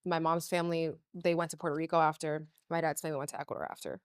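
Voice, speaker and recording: A young woman hosting an interview, chatty and quick, with lots of fillers and laughs. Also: sits low, level tone, clean tone. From US, Cuban parents. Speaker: host. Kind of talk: casual interview chat.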